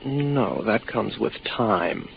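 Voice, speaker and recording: A low, gravelly space officer, isolated and tired of his own hallucinations. He speaks with wry, deliberate weariness in the manner of 1950s radio drama, on a dull old broadcast recording with faint noise. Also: lively intonation, exaggerated voice. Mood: wry, guarded.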